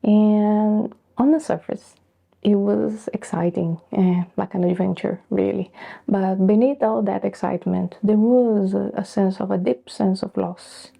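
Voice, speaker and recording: A middle-aged woman from Brazil whose first language is Portuguese, speaking thoughtfully to camera in a soft, breathy way with pauses. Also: medium pitch, fairly even intonation. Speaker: vlogger. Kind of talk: reflective personal talk to camera.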